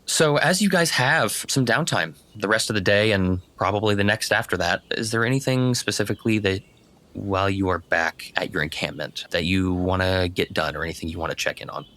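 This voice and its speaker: Deep-voiced, forceful man narrating. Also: a little fast. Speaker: game master. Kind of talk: narrating a tabletop roleplaying game.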